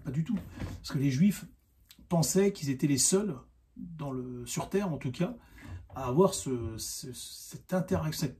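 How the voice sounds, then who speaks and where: Guy ranting off the cuff, high voice but soft dark tone, keeps repeating his point. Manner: insistent, argumentative, repeats himself, halting with rhetorical questions. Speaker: online video commentator. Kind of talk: unscripted opinion monologue to camera.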